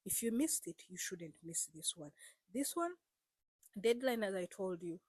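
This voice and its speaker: A woman's voice: mid-range voice, animated, a little slow, darkish tone, husky, no echo, clean studio sound. A woman vlogger speaking straight to her viewers in a casual, earnest way, repeating herself and coaxing them with frequent fillers. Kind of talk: casual direct-to-camera explainer.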